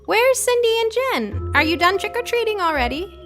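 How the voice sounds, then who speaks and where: A woman voicing a gentle, chatty, slightly confused mother in a scary storybook narration. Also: extremely high pitch, big pitch swings, moderate pace, music in the background, voice pushed up. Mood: puzzled.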